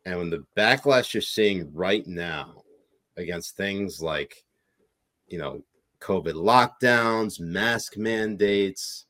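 Deep-voiced man in rambling political chat. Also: slow pace, bright, forceful tone. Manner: rambling, lots of filler words.

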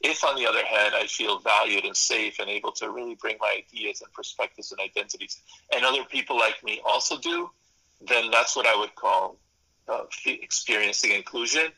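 Gravelly, bright-voiced male professor. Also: fairly low pitch, big pitch swings, brisk, poor audio. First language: Spanish. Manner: thoughtful, explanatory, piles up lists and restarts. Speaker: psychology professor and consultant. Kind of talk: interview answers. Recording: radio interview.

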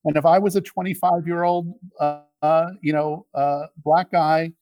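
A middle-aged man in a conversational political discussion, arguing in a halting, reflective way. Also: fairly high pitch, flat delivery. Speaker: interview guest.

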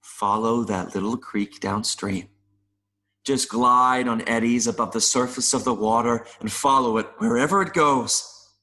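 A man playing a gentle, wistful mayfly in an audio play, speaking in an earnest, philosophical way with small hesitations. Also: medium pitch, unhurried, slight background noise. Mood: wistful longing. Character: gentle, wistful mayfly longing to see the world.

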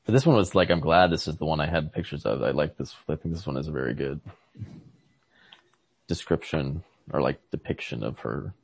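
A man in a casual, analytical literary conversation as a podcast host, often halting to search for words. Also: extremely low pitch, gravelly, slightly rough, no echo. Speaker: podcast host discussing a short story. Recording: lo-fi podcast recording.

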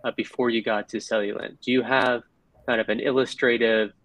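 A man hosting a podcast who speaks in a flat, steady tone. His voice sounds close and dry, and he asks his questions with many hesitant fillers. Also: medium pitch, fairly quick, dry recording, rough recording. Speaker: podcast host. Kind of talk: scripted intro then interview questions. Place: Mauritius.

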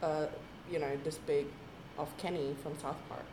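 A woman's voice: low voice, normal speed, noisy background. A woman in her thirties from Indonesia, a native Indonesian speaker with an American-sounding English accent, chatting as a podcast guest with many fillers. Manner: chatty, full of fillers and lively retold quotes. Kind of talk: casual conversation. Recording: echoey room.

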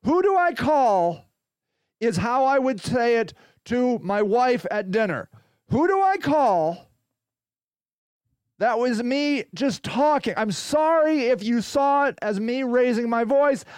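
A man hosting a podcast in a loud, profane, ranting monologue. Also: very high-pitched, sing-song, slow pace, clean tone, no echo, studio quality. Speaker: podcast host. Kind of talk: casual monologue.

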